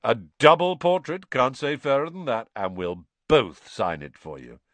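A man voicing a jovial, self-admiring celebrity teacher with a booming, pompous, patronising manner in an audiobook. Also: very animated, unhurried, no room sound. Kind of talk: audiobook character voice.

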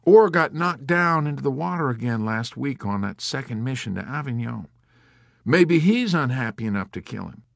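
A man's voice: medium pitch, animated, normal speed, vocal fry, no echo, studio quality, pitched above the performer's own voice. A man voicing an exasperated young airman who is frantic, urgent and prone to snarling incredulously. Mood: urgent, irritated.

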